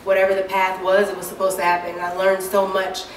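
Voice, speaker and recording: A woman singer giving a casual interview, talking in a deep, low speaking voice with many easygoing fillers. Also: quick pace. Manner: relaxed and chatty, many fillers like "um" and "you know". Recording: echoey room, mic far off, clear background noise.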